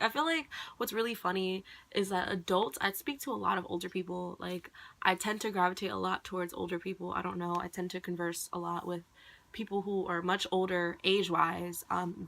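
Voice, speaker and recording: A woman's voice: fairly low pitch, fairly even intonation, brisk, creaky, a bit bright. A young woman, a college student whose first language is Khmer, rambling casually and thoughtfully to camera with many "like"s and "um"s. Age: young adult. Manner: rambling, heavy on "like" and "um".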